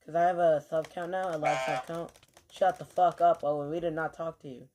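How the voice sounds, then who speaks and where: A man's voice: fairly high pitch, level tone, fairly quick, a little mellow, a little hiss or hum. A man chatting casually to his stream while he plays, rambling with lots of fillers and offhand swearing, keyboard clicks behind him. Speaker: game streamer.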